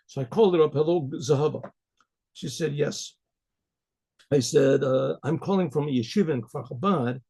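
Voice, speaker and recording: Man, American accent, gravelly husky voice, mellow tone, halting storytelling, clears his throat often. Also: a little high. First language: English. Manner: halting, many fillers and restarts, frequent throat clearing. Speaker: rabbi telling a personal story. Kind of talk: casual spoken storytelling.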